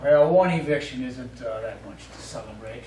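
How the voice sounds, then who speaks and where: A man playing a reserved, dryly unimpressed character in a stage play, speaking in a halting, understated way. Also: medium pitch, a little mellow, natural voice. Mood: dry reluctance. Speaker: stage actor. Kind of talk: live one-act stage play acting. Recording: echoey stage, distant mic, faint noise.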